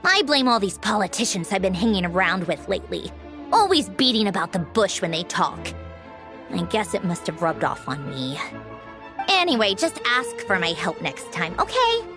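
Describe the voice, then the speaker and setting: An actress voicing a sharp-tongued, bossy female engineer and Fatui harbinger in a game, with a brisk, wry, dismissive manner. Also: medium pitch, big pitch swings, lightly acted. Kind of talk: game voice acting. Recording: game dialogue over soft music.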